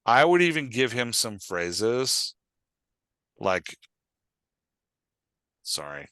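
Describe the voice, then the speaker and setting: A man's voice: mid-range voice, very animated, extremely slow, a bit bright, clear, resonant tone, no echo, studio quality. A man talking conversationally and emphatically as a host and coach, giving advice with acted-out examples. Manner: emphatic, acts out example phrases.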